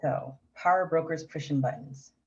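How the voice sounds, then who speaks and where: A man's voice: a little high, normal speed, lo-fi sound, close to an everyday voice. A man playing a persistent, frustrated police detective, questioning plainly in a screenplay read-through. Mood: frustrated, insistent. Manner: probing, plain-spoken questioning. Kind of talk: screenplay table read acting.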